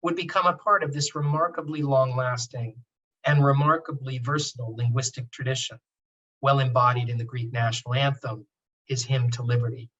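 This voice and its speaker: A man reads a formal academic speech in a composed, steady way. His voice is of middle pitch, and it sounds a bit distant from the microphone. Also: brisk, muffled recording. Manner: formal, reading from a prepared text.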